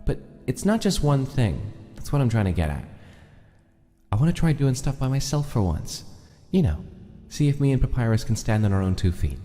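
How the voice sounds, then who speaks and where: A man's voice: medium pitch, very animated, normal speed, mellow, lightly acted. An actor voicing a laid-back young male skeleton in a comic dub, guarded and protective, casual and hesitant. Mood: guarded, low-key. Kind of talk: dramatic comic dub acting. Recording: long reverb, clear music behind.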